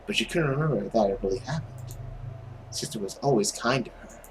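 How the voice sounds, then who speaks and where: This is a narrator performing a visual novel as a dramatic reading. The delivery is theatrical and suspenseful, with lively swings in pitch. There is clear noise in the recording. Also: a little fast. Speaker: video game playthrough narrator.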